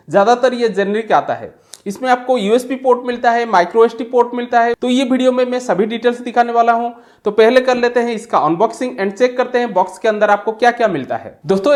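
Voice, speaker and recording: A woman with an Indian accent hosting a tech channel, narrating an unboxing in a casual review style. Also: fairly high pitch.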